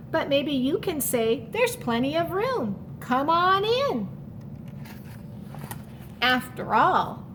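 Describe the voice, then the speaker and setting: A woman reading a picture book aloud to young children, pausing to explain it simply and speaking to the listener in an encouraging way. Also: high voice, fairly bright tone, slight background noise. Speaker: storytime reader for children.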